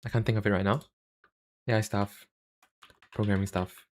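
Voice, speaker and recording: A young man with a Singaporean accent chatting casually on a livestream, halting and self-deprecating, speaking into a cheap USB microphone. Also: lowish voice, fairly even intonation. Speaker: YouTuber and live streamer.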